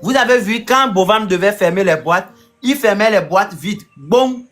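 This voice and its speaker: A man chatting casually as a guest, talking loudly and forcefully. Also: sits high, animated, moderate pace, soft background music. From Canada-born, with a home in Africa. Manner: loud, forceful talker. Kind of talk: casual chat.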